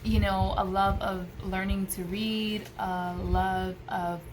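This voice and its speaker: A woman in her thirties talks casually to the camera as a homeschool vlogger, with a medium-pitched voice. She gives advice in an emphatic way, repeating words for stress. It is recorded at home on a camera microphone, with noticeable noise in the background. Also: level tone, takes it slowly. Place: small room at home by a window. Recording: clearly noisy room recording.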